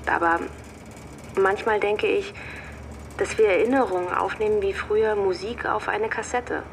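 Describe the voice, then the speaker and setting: An actress playing a wistful, gently needling girlfriend in a radio drama, speaking in a reflective, conversational way. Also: mid-range voice, fairly even intonation, moderate pace, a little hiss or hum, lightly acted. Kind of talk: naturalistic radio drama dialogue.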